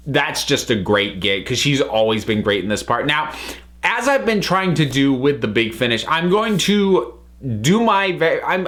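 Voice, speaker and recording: American man, halting casual reviewer. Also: mid-range voice, a bit bright. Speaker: reviewer and channel host. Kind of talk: casual review vlog of audio dramas.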